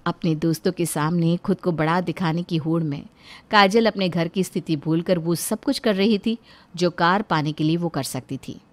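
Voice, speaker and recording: A woman's voice: fairly low pitch, normal speed. A woman reading scripted narration for a moral story as its storyteller.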